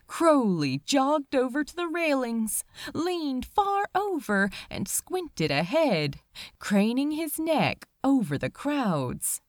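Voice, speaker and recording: Slow, expressive woman narrating a story. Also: high voice, no room sound, studio quality. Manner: measured, expressive storytelling with dramatic pauses. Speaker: amateur audiobook reader. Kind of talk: dramatic scripted narration of fan fiction.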